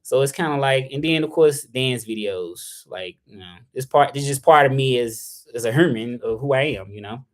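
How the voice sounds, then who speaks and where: A man's voice: medium pitch, moderate pace, few pauses. A young adult man from Memphis with a Southern accent, talking loosely in a casual livestream about his work as an interpreter, full of "like" and "you know". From Memphis, Tennessee, US South. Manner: loose and rambling, with many fillers, "like" and "you know". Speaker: freelance interpreter hosting a livestream.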